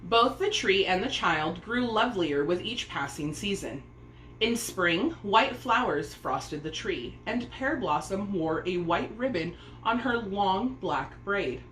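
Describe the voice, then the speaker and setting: A woman's voice: medium pitch, expressive, slow, a bit bright. Woman reading a storybook, echoey room. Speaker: storybook reader. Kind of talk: expressive children's story narration. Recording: echoey room, faint background noise.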